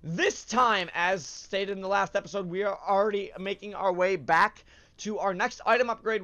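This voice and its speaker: Man, stuffed up from a cold, high voice, rambling game commentary, lo-fi muffled mic. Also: fairly bright tone. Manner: chatty, rambling, frequent uhs and self-corrections. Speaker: let's play host. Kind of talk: casual gameplay commentary.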